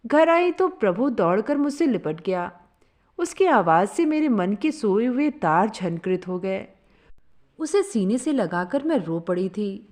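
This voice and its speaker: A woman reads a sentimental story in the first person. Her voice sits fairly high and moves up and down a great deal, giving the narration an expressive, storytelling feel.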